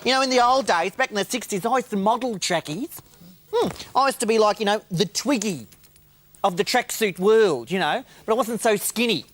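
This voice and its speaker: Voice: medium pitch, lively intonation, moderate pace, natural voice. An actor playing a vain older former tracksuit model who rambles on, pleased with herself. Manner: chatty, self-satisfied, rambling. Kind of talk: sketch comedy acting.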